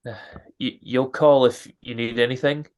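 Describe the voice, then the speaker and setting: A man's voice: mid-range voice, steady tone, a little mellow, natural voice. Man acting a nervous, fretful expectant father. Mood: flustered. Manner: halting, anxious stammer. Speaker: amateur radio drama actor. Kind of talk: radio drama acting.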